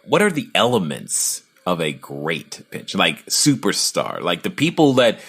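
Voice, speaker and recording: A man's voice: sits low, sing-song, a little slow, fairly bright tone, slightly rough. A male podcast host interviewing a guest, full of asides, easy laughs and restarted words. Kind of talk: interview, casual chat.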